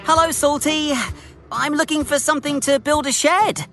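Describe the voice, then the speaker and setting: A man voicing a cheeky, earnest little tank engine in an eager, high voice. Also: fairly even intonation, moderate pace, faint music behind. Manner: eager, bright and hesitant.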